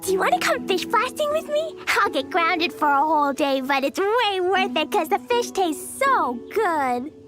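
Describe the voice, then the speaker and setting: A woman's voice: extremely high pitch, sing-song, normal speed, few pauses. A woman voicing a cheerful, reckless and playful little girl in a video game. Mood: cheerful, excited. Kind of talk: video game character acting. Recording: background music under the voice.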